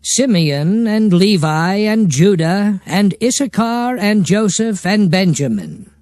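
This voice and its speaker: A man giving a solemn, measured, declamatory reading of scripture with music behind. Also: sits high, expressive, darkish tone, no echo.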